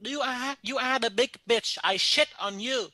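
A man's voice: very high voice, measured pace, pushed and bright, no room sound, voice pushed up, exaggerated voice. A man voicing an irate woman restaurant owner who speaks broken English and stammers out insults. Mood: indignant. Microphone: phone call.

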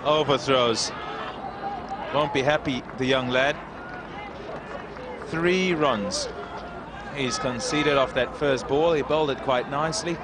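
A male cricket commentator calling a match live, getting loud and excited when a wicket falls. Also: medium pitch, takes it slowly, long pauses. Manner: excitable, rising to shouts at wickets. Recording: noisy crowd behind, outdoor broadcast. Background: cheering crowd and ground noise.

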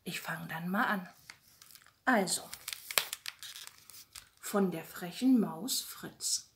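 Slow, soft-spoken woman storyteller. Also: on the low side, background noise. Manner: soft, gentle, addressing children directly. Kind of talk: bedtime story reading for children. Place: small room at home. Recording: roomy, echoey home recording.

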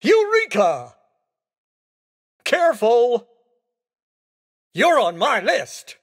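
A man voicing a theatrical, excitable skeleton gentleman in a racing video game, with courteous, exclamatory delivery. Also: very high-pitched, very slow, breathy edge, exaggerated voice. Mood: gleeful, then startled, emphatic. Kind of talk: video game character voice clips.

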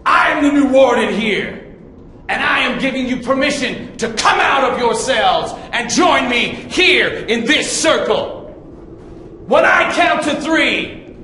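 A man's voice: extremely high pitch, sharp tone, exaggerated voice. A young man playing a cocky, theatrical ghost hunter presenting a paranormal show in a melodramatic style. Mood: taunting bravado. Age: young adult. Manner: melodramatic, bombastic. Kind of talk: scripted ghost-hunting presenter piece. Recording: echoey hall with noisy hiss.

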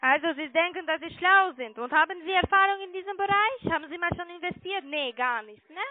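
A woman with a foreign accent, not a native speaker, making a halting, insistent sales call over the phone. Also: extremely high pitch, no echo. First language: not German. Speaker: call centre caller selling investments. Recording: tinny phone line.

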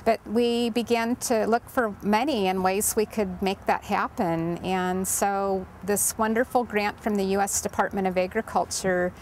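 A woman in her fifties leading the library, explaining in a measured way in an interview outdoors. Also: mid-range voice, steady tone, slight background noise, rough recording. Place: outdoors beside a bookmobile.

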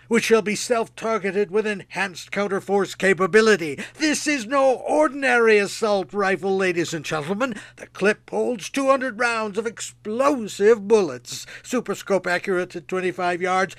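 Radio satire: male actor as manic salesman-preacher, high, gravelly voice, mock-grand declamation, close dry sound. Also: fairly bright tone, no echo, full character voice. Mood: hard selling. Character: manic pitchman-preacher selling war and weapons. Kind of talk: satirical radio sketch monologue.

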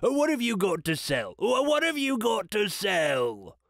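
A sly old rascal of a shopkeeper from a storybook, acted by a man. He wheedles and hesitates over his words, swinging in pitch. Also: wildly swooping pitch, unhurried, a bit bright, no echo, clean studio sound, full character voice. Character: grey-haired old rascal running a junk shop.

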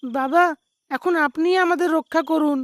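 Woman as high-voiced earnest young villager. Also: normal speed, no echo, close to an everyday voice. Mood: earnest, questioning. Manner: determined, questioning. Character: earnest young villager protecting their people. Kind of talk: animated story dialogue.

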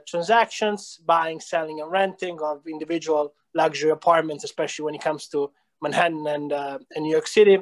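Brief: thirties business founder, Italian accent, hesitant, pitched slightly high, over a video call. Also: male voice. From Italy. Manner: hesitant, many fillers and restarts. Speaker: real estate company founder. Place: small room indoors.

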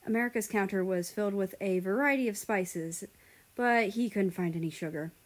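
A woman's voice: medium pitch, normal speed. A woman narrating a scripted story as an audiobook reader of fan fiction.

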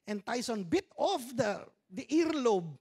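A man's voice: extremely high pitch, big pitch swings, measured pace, a little mellow, slightly rough. A Filipino man in his fifties preaching a sermon on a church stage, conversational, with joking Tagalog asides, speaking into a handheld mic. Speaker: pastor. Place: church stage with dark curtains.

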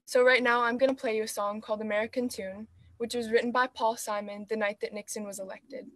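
A woman musician introducing a song in a gentle, unhurried way over a video call. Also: fairly high pitch, fairly even intonation, no room sound. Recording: guitar playing behind.

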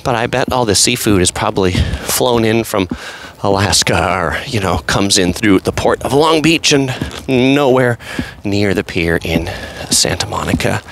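A man's voice: medium pitch, fairly bright tone, a little hiss or hum. A man casually narrating an outdoor walking tour on a pier, chatty and rambling, full of fillers and asides. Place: outdoors on an empty seaside pier.

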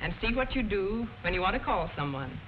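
A woman's voice: medium pitch, big pitch swings, brisk, close to an everyday voice. Muffled old 40s film lady woman acting as a prim patient phone instructor, formal and didactic, bit of hiss. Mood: patient, instructive. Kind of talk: 1940s educational film narration. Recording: muffled, noisy 1940s film soundtrack.

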